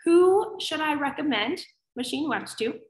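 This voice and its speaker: Woman from pittsburgh, hoarse and raspy, high voice, chatty and upbeat, echoey room. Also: expressive, measured pace, darkish tone. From Pittsburgh, USA. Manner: upbeat, chatty, often says "awesome". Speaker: webinar host and educator. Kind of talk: live online teaching webinar.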